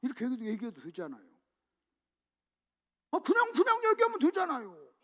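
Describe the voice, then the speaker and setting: A man preaching a sermon to a church congregation, leading them in call-and-response reading and swinging between hushed asides and loud emphatic exclamations. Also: very high-pitched, wildly swooping pitch, brisk. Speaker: pastor. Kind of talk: sermon at a revival meeting. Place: church sanctuary.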